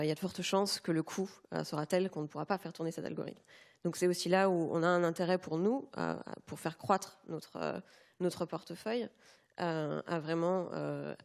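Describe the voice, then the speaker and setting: Panelist, a woman with a gravelly, lowish voice, slow and hesitant delivery. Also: darkish tone. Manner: measured, full of hesitant "euh" fillers. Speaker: conference panelist. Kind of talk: conference panel talk.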